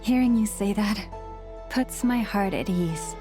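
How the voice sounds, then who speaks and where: A woman's voice: mid-range voice, measured pace, music in the background, close to an everyday voice. A woman playing a solemn, composed leader of a secretive people in a video game, speaking formally and with measure. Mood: relieved, gentle. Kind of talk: video game cutscene voice acting.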